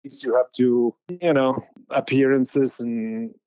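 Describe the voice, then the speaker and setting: A man's voice: medium pitch, fairly even intonation, slow pace, dark tone, clear, resonant tone, no room sound, poor audio. A man in his sixties from Spain with a Spanish accent, a painter talking casually as an interview guest, rambling with many fillers and restarts. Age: 60s.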